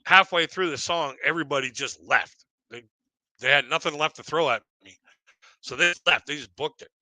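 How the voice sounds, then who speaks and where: Bright, dry-sounding man telling comic stories. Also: dry recording. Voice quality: deep voice, by his own account. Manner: rambling, halting, self-deprecating. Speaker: stand-up comedian and live streamer. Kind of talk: casual comic storytelling on a live stream.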